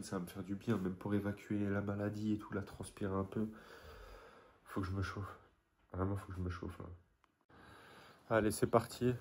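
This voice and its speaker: A man's voice: deep voice, darkish tone, breathy edge. A man recording a casual training diary vlog, chatting and thinking aloud in short bursts. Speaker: amateur triathlete vlogger.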